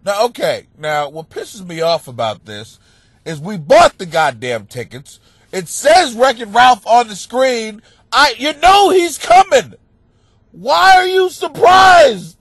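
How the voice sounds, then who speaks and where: A man with a blocked, stuffy nose rants like a comic on his own podcast, cursing freely and bursting into shouts. His voice sits high and leaps all over the place. The sound is close and dry, with no room around it. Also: takes it slowly, dry recording. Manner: animated, swearing, bursts into shouting. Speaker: stand-up comic and podcast host. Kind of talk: profane comedic rant monologue.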